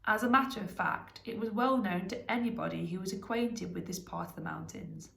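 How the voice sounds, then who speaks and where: A woman reads a story aloud with expression. Her voice sits at a medium pitch with noticeable creak. The recording has a clear room echo. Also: fairly quick, fairly bright tone. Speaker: staff member reading a story aloud.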